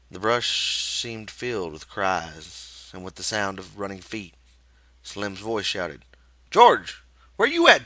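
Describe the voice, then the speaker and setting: Deep, slow, dramatic male narrator. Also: sharp tone, no echo. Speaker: audiobook reader.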